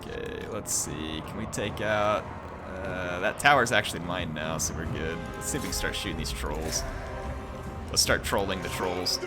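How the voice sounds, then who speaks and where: A man's voice: low voice, moderate pace, loud music behind the voice. A man hosting a gaming channel, giving playful, jokey live commentary on a battle and chatting with his viewers.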